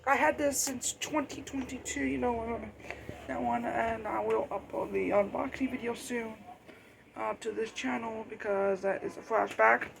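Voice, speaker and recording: Hobbyist doing a casual show and tell, kinda high creaky voice, rambles and stumbles, some noise on the home recording. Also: steady tone. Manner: rambling, halting, self-correcting. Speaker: hobbyist video creator. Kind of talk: casual unscripted show-and-tell of weather radios. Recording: noisy amateur home recording.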